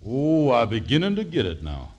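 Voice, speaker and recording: An actor playing a sly, blustering, conniving friend in a 1940s radio comedy, talking in a folksy way, hesitant and then emphatic. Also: male voice, mid-range voice, wildly swooping pitch, moderate pace, darkish tone, dull audio. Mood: sly, amused. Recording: noisy old 1940s radio recording.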